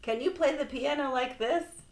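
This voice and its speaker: Excitable male toad for a children's storybook, female reader, raised pitch, dramatic exclaiming, roomy echo. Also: unhurried, vocal fry. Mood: showing off. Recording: echoey, roomy sound.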